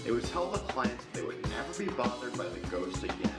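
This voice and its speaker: A man's voice: medium pitch, very animated, fast, natural voice. A man playing a touchy, sarcastic ghost who is a casual young roommate in a student TV sitcom. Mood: narrating, matter-of-fact. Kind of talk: student TV sitcom acting with narration. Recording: roomy sound with loud music behind.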